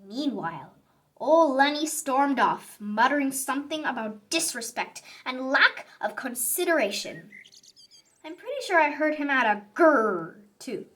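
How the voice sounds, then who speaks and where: Female children's story reader: bright, high, theatrical, expressive picture book narration. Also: measured pace.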